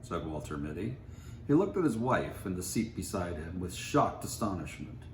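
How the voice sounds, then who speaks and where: Deep-voiced man reading a story. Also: sing-song, moderate pace. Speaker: story reader. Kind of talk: expressive scripted story narration. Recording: echoey room, faint background noise.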